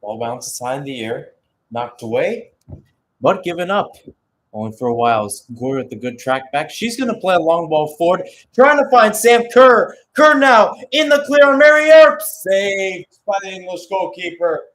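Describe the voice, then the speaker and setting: A man's voice: high voice, big pitch swings, normal speed, fairly bright tone. Male football play-by-play commentator. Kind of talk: live radio-style sports commentary.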